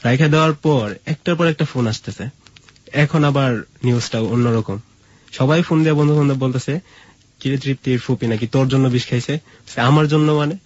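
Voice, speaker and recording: A young man recounts a personal story in casual, colloquial speech with a Bangladeshi accent. His medium-pitched voice is husky and he acts out remembered dialogue. The recording is dull and lo-fi. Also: fairly quick, a little mellow. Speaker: guest telling his own story.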